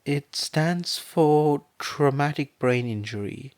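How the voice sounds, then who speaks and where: Quiet stammery nurse mom, guy voicing her, slightly higher voice. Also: takes it slowly, clean tone, no room sound, studio quality. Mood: grim. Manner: soft, stammering, clinical. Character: gentle weary middle-aged mother, a nurse.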